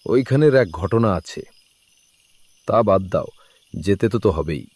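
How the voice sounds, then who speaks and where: Man playing a deep-voiced, reassuring husband. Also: expressive, a little slow, darkish tone, slightly rough, no room sound, exaggerated voice. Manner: conversational, reassuring. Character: easygoing but firm husband and kindly uncle. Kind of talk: animated horror drama acting.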